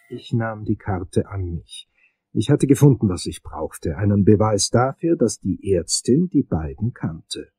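A man playing an investigator, narrating a crime radio play in measured, wry first-person storytelling. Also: on the low side, mellow, husky, low-quality recording.

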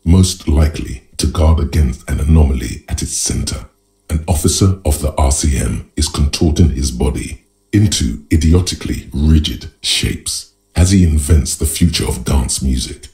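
A man voicing a grave, deliberate inner narrator in a video game, in dramatic scripted style. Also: rumbling low, vocal fry, dark tone. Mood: solemn, portentous. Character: grave male narrator, inner voice of a detective's mind. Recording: music behind the voice.

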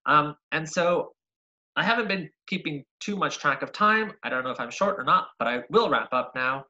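A young man from Argentina giving an online science seminar over a video call, lively and full of ums and uhs, often restarting words. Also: fairly high pitch, moderate pace, lo-fi sound. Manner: lively, frequent ums and uhs, self-correcting. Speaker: graduate researcher giving a seminar. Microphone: webcam on a video call.

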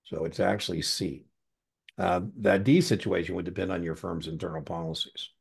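A middle-aged American man with a gravelly voice tutoring one-on-one over a video call, informal, encouraging and given to tangents. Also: deep voice, moderate pace. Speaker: exam tutor. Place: indoor room on a video call.

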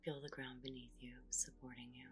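A woman guiding a meditation in a soft, whispery voice, giving slow, soothing, gentle instructions. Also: a bass voice, little pitch movement, faint music behind. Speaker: meditation guide.

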